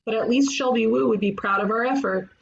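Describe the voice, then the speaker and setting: A woman voicing a cocky, excitable young amateur detective in a cartoon comedy, with an emphatic know-it-all manner. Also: on the high side, a little fast. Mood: smug, cheerful. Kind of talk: animated comedy table read. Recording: muffled, clearly noisy home recording.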